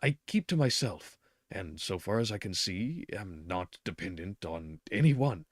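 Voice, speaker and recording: A man's voice: deep voice, big pitch swings, a little slow, no echo, clean studio sound, exaggerated voice. A man voicing a timid, awkward middle-aged clerk who speaks hesitantly and stammers. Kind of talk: reading a novel aloud.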